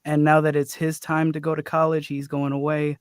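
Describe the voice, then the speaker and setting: A man with a slightly high voice talks casually on a cast panel. His manner is earnest and measured, and the sound is very dry. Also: completely flat delivery, clean tone, no room sound. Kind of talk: casual chat.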